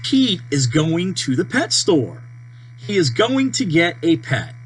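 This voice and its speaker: A person reading a children's storybook aloud, with deliberate, simple phrasing and page-turn prompts. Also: medium pitch, low-quality recording. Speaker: storybook reader. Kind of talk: children's read-aloud narration. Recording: steady mains hum behind voice.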